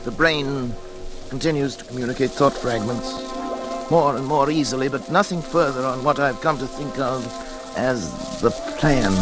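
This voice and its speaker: A man playing an obsessive middle-aged scientist in 1940s radio drama style, intense, theatrical and halting. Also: medium pitch, natural voice. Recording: old radio drama with music.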